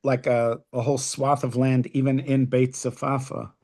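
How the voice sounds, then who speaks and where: A middle-aged man co-hosting a podcast who recalls old memories in a casual, halting way, often searching for words. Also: medium pitch, little pitch movement, darkish tone. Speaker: podcast co-host, rabbi. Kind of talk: casual reminiscing conversation.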